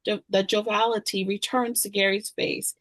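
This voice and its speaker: A woman narrates the action of a screenplay at a table read. Her voice is mid-pitched for a woman. The sound is very dry, with little room. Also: little pitch movement, normal speed, fairly bright tone, no room sound, poor audio. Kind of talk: scripted narration of screenplay directions.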